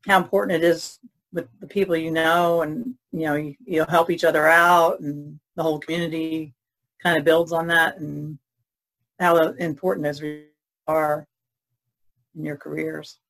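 A woman's voice: sits low, little pitch movement, moderate pace, creaky, a bit bright, rough recording. A woman artist in a casual podcast chat over a video call, easygoing and hesitant with ums. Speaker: artist guest.

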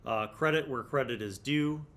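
A man's voice: medium pitch, moderate pace, a bit bright. An American man in his forties hosting a tabletop gaming review, talking in a reflective, explanatory, conversational way into a studio microphone. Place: small indoor studio. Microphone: large desktop studio microphone.

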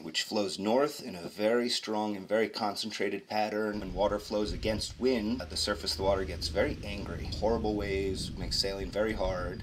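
Male vlog narrator, low-pitched, plain explanatory read, slight hiss. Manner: matter-of-fact, explanatory. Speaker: sailing vlogger. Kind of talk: explaining sailing routes over maps.

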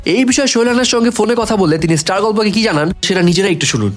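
A man's voice: high voice, expressive, very fast. A man with a Bangladeshi accent reading scripted entertainment gossip news as a narrator. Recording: music bed behind voice. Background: background music.

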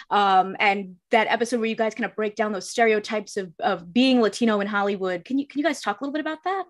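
A young woman hosting an interview, asking questions in a casual, conversational way. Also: medium pitch. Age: young adult. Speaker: interview host. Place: small room at home, video call.

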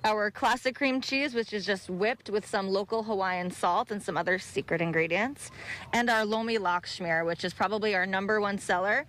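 A woman's voice: medium pitch, moderate pace, fairly bright tone, slight background noise. A young woman who runs a bagel business, speaking outdoors into a handheld mic during a live TV interview, upbeat as she explains her menu. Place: outdoor park by the ocean. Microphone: handheld reporter mic.

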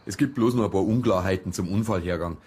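A Bavarian police detective , played by a man with a lowish voice asks probing questions in a matter-of-fact way. It sounds like naturalistic radio drama with faint noise behind. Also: fast, a little mellow, lightly acted. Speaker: actor in a radio crime drama.

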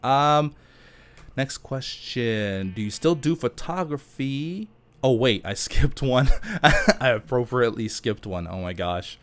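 A man's voice: mid-range voice, animated, takes it slowly. A man giving casual, chatty let's play commentary in an informal, self-deprecating and jokey way. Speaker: gaming YouTuber host.

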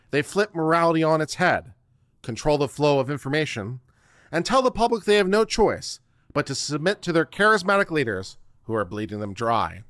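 A middle-aged man giving a theatrical, deliberate dramatic reading of a written text into a close microphone. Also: on the high side, a bit bright, no room sound. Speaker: online show host. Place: small home studio room. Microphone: close microphone on boom arm.

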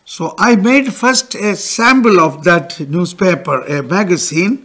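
Older man, high voice, slow deliberate catechism teacher, studio lapel mic. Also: bright, forceful tone. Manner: gestures broadly while explaining, pauses for interpreter. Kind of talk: catechism lesson with interpreter. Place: studio with backdrop.

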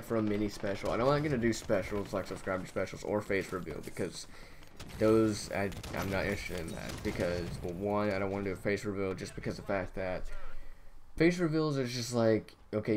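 Young male gamer host, lowish monotone voice, rambling casual chat, faint hiss behind. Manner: rambling, heavy on fillers. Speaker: gaming channel host. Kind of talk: casual gameplay commentary.

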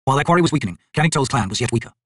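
A man reading a fantasy audiobook in a theatrical, solemn, expressive manner. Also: medium pitch, racing, dry recording, clean studio sound. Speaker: audiobook narrator, actor. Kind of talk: dramatic scripted fantasy audiobook narration.

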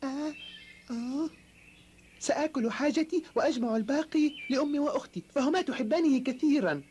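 A woman voicing a meek, obedient little boy in a children's cartoon, speaking gently and submissively. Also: high voice, expressive, fairly quick, slight background noise. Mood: hungry, gentle. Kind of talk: dubbed children's cartoon acting.

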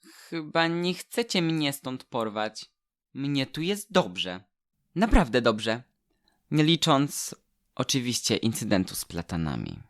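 A man's voice: mid-range voice, sing-song, slow pace, fairly bright tone, heavily acted. A man voicing a cheerful, curious and slightly timid statue boy in an audio drama, exclaiming in a naive way. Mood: nervous.

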